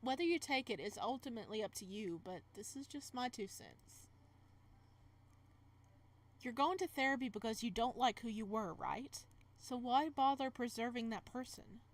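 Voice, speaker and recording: A woman's voice: on the high side, lively intonation, normal speed, close to an everyday voice. A woman reading as the weary, practical male protagonist of a visual novel, frank and reasoning in manner. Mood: frank, advising.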